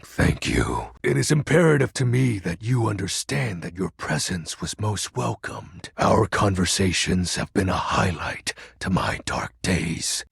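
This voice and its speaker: An actor playing a grandiose, brooding shadow lord in a video game, speaking in a pompous, archaic, melodramatic way with a gravelly, husky voice. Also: male voice, lowish voice, no echo, studio quality, full character voice. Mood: solemn. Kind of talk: theatrical video game character acting.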